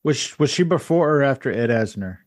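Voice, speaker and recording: A man's voice: mid-range voice, expressive, a little fast. A man hosting a podcast, introducing his guest and chatting casually with enthusiasm. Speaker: podcast host. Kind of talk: casual interview chat.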